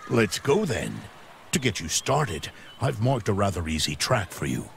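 A gravelly old horseman in a video game. His low voice moves up and down with lively, kindly encouragement for a young rider, over faint background noise. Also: measured pace, heavily acted. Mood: warm, encouraging.

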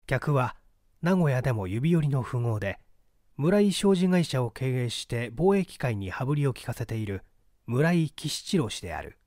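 Male audiobook narrator for classic mystery. Mid-pitched, very animated intonation, dry close recording. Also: moderate pace, no room sound. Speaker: audiobook reader and stage actor. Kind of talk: dramatic scripted literary narration.

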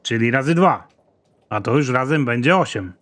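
A man playing a gruff, trigger-happy, hard-drinking space soldier in an animated comedy, with a crude, deadpan, grudging delivery. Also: medium pitch, moderate pace, lightly acted. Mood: dry, stubborn.

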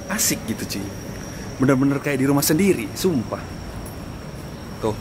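A man's voice: medium pitch, very animated, normal speed, noisy background. A young man with a Jakarta Indonesian accent chatting casually to a camera held at arm's length, slangy and chummy like a vlogger. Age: young adult. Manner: slangy, chummy address to viewers. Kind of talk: casual vlog chat to camera. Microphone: handheld camera at arm's length.

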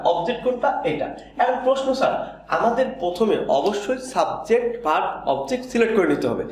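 A Bangladeshi man gives a classroom-style lesson in a high voice. He is didactic and keeps quizzing the listener, then answering himself. The room is heavily echoey, with a faint layer of noise behind him. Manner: didactic, asking and answering own questions. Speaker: English grammar teacher. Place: indoor room in front of a whiteboard.

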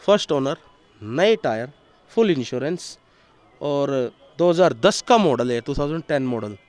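A man in his thirties, a car dealer outdoors on a lot, briskly listing each car's details and price into a handheld mic. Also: mid-range voice, animated, darkish tone. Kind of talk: sales pitch.

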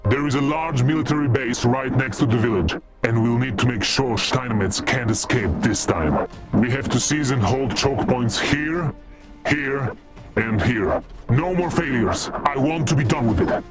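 An actor playing a brooding, driven war veteran in a video game, grave and determined. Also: male voice, mid-range voice, unhurried, over loud music, natural voice. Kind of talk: video game cutscene acting.

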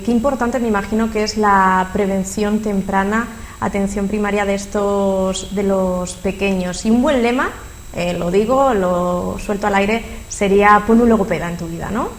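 A young woman with a Spanish accent, her voice mid-range, hosts a studio interview in a formal, scripted way and pauses on small fillers. A faint hiss runs underneath. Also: mid-range voice, fairly even intonation, poor audio. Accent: Spanish from Spain. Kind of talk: local TV interview. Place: local TV studio.

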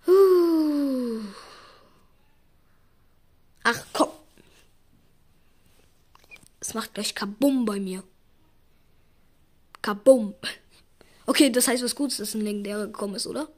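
Hoarse, excitable young woman gamer. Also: fairly high pitch. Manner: excitable, shrieks and groans at spin results; drops into a raspy near-whisper when speaking quietly. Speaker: gaming YouTuber. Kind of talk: casual gaming commentary.

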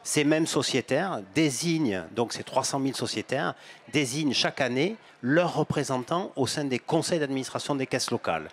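A man in his fifties, a bank executive, giving measured explanatory answers in an interview at a fair stand, with some hesitations and repeated words. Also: medium pitch. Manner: gestures broadly while talking. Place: outdoor stand at an agricultural fair, on a sofa. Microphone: handheld microphone.

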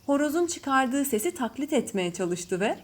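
A woman storyteller reading a scripted children's bedtime story in measured phrases. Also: sits high, very animated. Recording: faint background noise with birdsong. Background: birds chirping.

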